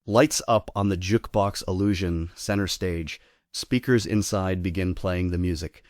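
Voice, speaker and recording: Deep-voiced man reading stage directions. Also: steady tone, moderate pace, dry recording, studio quality. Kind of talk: scripted narration.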